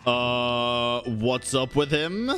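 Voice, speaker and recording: A man's voice: medium pitch, drawn-out words, fairly bright tone. A man streaming a game, giving casual reaction commentary as the story plays. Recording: game music faintly behind.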